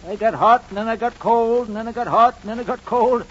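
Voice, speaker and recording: A man's voice: very high voice, fairly quick, fairly bright tone. An actor playing a rambling, folksy older married man in a 1940s radio comedy. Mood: reminiscing. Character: rambling, long-married older neighbor man, drily comic. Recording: muffled, hissy 1940s radio recording.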